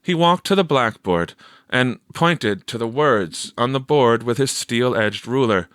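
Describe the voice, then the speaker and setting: A man narrating a story from a script in a measured, expressive storytelling manner. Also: medium pitch, no echo, clean studio sound. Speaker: audiobook narrator.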